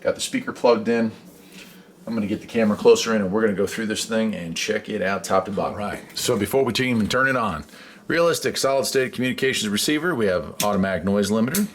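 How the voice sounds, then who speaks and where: A man giving a casual hands-on demonstration as a hobbyist, unhurried and thinking aloud. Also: fairly low pitch. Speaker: radio hobbyist presenter. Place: indoors beside a shortwave receiver. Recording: clear background noise, radio static.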